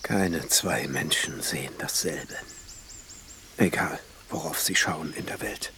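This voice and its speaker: An actor playing a brooding, philosophical court alchemist in a radio drama, with a husky, breathy voice and formal old-fashioned phrasing. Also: male voice, a bass voice, slow pace, bright, forceful tone, slight background noise, exaggerated voice.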